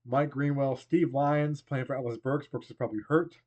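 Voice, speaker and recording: A man in his forties with a cold, hoarse and losing his voice, rambling casually through a baseball game replay as host. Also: mid-range voice, steady tone, moderate pace, darkish tone, highs cut off. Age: 40s. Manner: rambling, conversational, trailing off.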